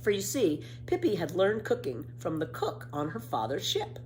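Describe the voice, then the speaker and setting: Casting: female children's storyteller, slightly low voice, very lively intonation, careful expressive reading, some background noise. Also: normal speed.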